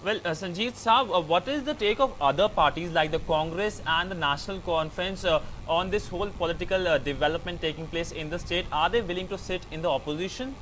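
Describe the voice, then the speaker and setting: A man's voice: sits high, a little fast, few pauses, a bit bright, slight background noise, poor audio. A man with an Indian English accent anchoring the news and questioning a reporter live.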